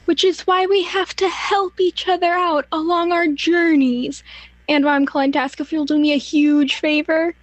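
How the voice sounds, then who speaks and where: A woman's voice: extremely high pitch, level tone, moderate pace, dry recording, rough recording. A woman acting in a radio play as a breezy, cajoling sister who presses for favors.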